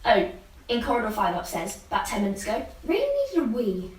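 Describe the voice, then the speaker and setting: A woman's voice: medium pitch, expressive, fast, natural voice. A young woman playing an evasive, droll youngster in a radio play, giving laconic, offhand answers. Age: young adult. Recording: echoey, slightly distant from mic.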